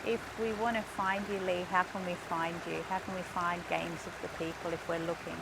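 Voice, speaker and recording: Woman interviewer, gentle and affirming, loud background noise. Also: fairly low pitch, little pitch movement, a little fast, gravelly. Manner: affirming, gentle, short replies.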